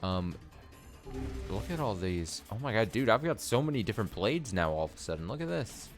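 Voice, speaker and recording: A man with a very low, deep voice talks his way through a video game stream in a loose, chatty way. He gets excited over new gear and reads item text aloud as he goes, with faint game music under him. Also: a little fast. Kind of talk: casual gameplay commentary.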